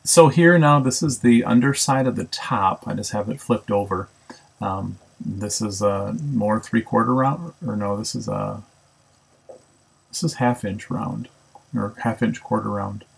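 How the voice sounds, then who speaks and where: Male shop teacher, raspy low voice with heavy fry, easygoing, self-correcting narration. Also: unhurried. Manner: relaxed, thinks aloud with many ums and self-corrections. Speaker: woodworking instructor. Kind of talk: casual how-to narration. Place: home woodshop.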